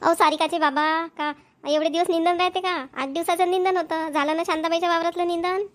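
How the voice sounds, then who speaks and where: A woman voicing Hira, a proud sharp village wife. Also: extremely high pitch, steady tone, a little fast. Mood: teasing. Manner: bickering, boastful. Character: shrewd rural wife, proud and sharp-tongued. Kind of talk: cartoon voice acting.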